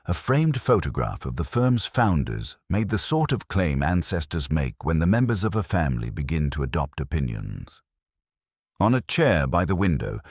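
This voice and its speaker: A man reading a scripted literary mystery as narrator, in a measured, formal storytelling manner. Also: a bass voice, no room sound, studio quality.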